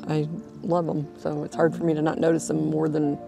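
A woman's voice: deep voice, monotone, fairly quick, gravelly. A woman, a painter, chatting casually and a little hesitantly outdoors. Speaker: painter being interviewed. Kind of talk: casual chat. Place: outdoors on a lawn. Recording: background music over outdoor voice.

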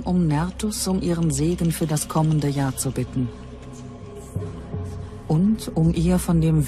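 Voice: medium pitch, close to an everyday voice. An actor voicing a solemn Germanic villager who prays to an earth goddess in a documentary dramatization. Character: Germanic villager praying to earth goddess after hard winter. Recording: music behind the voice.

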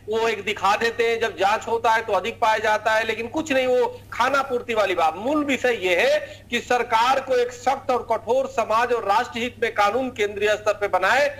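A man's voice: very high-pitched, fairly bright tone, poor audio. A middle-aged man arguing emphatically as a religious leader and guest in a TV news debate. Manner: emphatic, argumentative. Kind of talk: TV debate argument.

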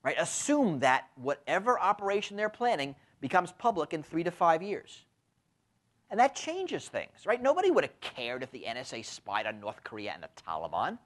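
Lively bearded man lecturing. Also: fairly high pitch, moderate pace. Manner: frequent tag questions, lively emphatic delivery. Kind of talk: university lecture. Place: lecture room with window blinds. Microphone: lapel mic.

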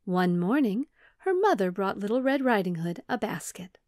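Lady reading a kids story, expressive storyteller, mid pitch, dry clean room sound. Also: normal speed, no echo. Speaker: children's librarian, storyteller. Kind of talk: scripted storytelling for children.

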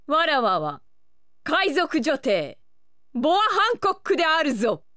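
An imperious anime game pirate empress, high voice, slow regal delivery, really swoopy. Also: female voice, wildly swooping pitch, bright, forceful tone, dry recording, studio quality, heavily acted. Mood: haughty, commanding. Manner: imperious, archaic regal diction. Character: haughty, lovestruck young pirate empress, imperious beauty. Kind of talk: video game anime character voice acting.

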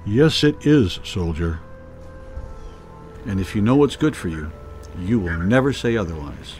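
A man's voice: fairly low pitch, animated, measured pace, darkish tone, soft background music. A man acting an imposing, menacing army general in an audio drama. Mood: stern, threatening.

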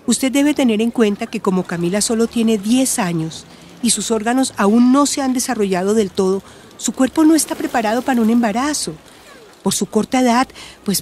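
Actress as a mellow, higher-pitched woman counsellor. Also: a little hiss or hum, lightly acted. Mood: earnest, informative. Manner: reassuring, explanatory, gentle. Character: calm, supportive woman counsellor advising a family. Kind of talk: radio drama acting.

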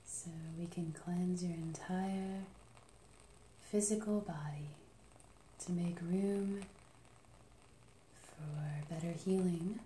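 Slow soft-whispering woman in an echoey room. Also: lowish voice, steady tone, a little hiss or hum. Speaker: reiki practitioner. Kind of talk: ASMR reiki session. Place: small treatment room indoors. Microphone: far camera.

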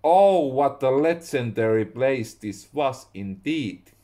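A young man with a Finnish accent, a native Finnish speaker, slowly reading his own story aloud at home and stopping to correct his words. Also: mid-range voice, animated, dark tone. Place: small room indoors at home.

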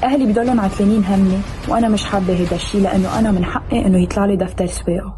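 A Lebanese woman in her late teens gives a testimonial at a very rapid pace. Her pitch is average, and there is clear noise behind her. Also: fairly even intonation. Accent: Lebanese Arabic. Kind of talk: short testimonial clip.

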